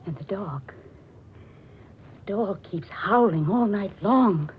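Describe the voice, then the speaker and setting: An actress playing an anxious, haunted widow in a 1940s radio thriller, tremulous and melodramatic. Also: female voice, medium pitch, lively intonation, slow pace. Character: frightened, haunted widow living alone. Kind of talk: 1940s radio suspense acting. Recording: dull, hissy 1940s radio recording.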